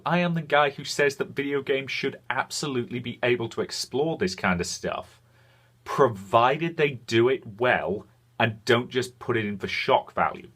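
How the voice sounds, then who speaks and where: A man with a mid-pitched voice presents a video game review. His delivery is measured and opinionated, partly scripted, and he pauses deliberately to land a point. He comes across as frank in giving his verdicts. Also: a bit bright, slightly rough. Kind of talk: semi-scripted review commentary.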